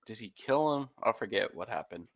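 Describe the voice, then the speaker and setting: A laid-back man speaks low with a gravelly edge in easy podcast banter. He laughs often and lets his thoughts trail off unfinished. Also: expressive, a little fast, fairly bright tone. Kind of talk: casual chat.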